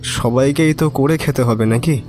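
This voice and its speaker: A man's voice: mid-range voice, moderate pace, darkish tone, faint music behind, close to an everyday voice. An actor playing a cynical, resigned young neighbourhood man, talking colloquially and wryly in an audio drama. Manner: colloquial, emphatic repetition, wry. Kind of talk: Bengali audio drama acting.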